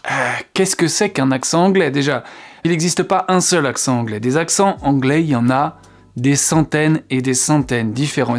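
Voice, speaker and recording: A man, a French speaker, hosting a casual video lesson. He talks chattily, fills gaps with hesitations and keeps asking the viewer questions. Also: a little high. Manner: chatty, asks the viewer questions, many fillers. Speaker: English teacher, channel host.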